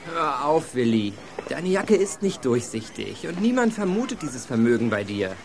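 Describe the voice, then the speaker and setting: Animated, assertive teenage boy detective. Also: mid-range voice, moderate pace, creaky, background noise. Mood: confident. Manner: assertive, reasoning. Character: self-assured teenage boy detective, gang leader. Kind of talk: German youth radio play acting.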